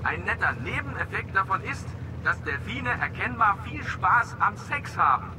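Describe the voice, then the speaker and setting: An actor playing a jokey dolphin boat tour guide in a radio drama, lecturing tourists in a cheeky, rehearsed way. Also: male voice, high-pitched, big pitch swings, normal speed. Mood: cheerful lecturing. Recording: noisy outdoor boat-tour ambience.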